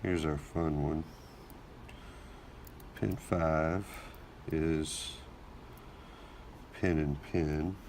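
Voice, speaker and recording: A man with a very deep, gravelly voice, still recovering from a cold, talks through his work at an easy pace as if thinking aloud. A faint hiss sits under the recording. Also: extremely slow, long pauses. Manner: relaxed, thinking aloud while working. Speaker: lockpicking channel host. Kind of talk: casual hands-on narration.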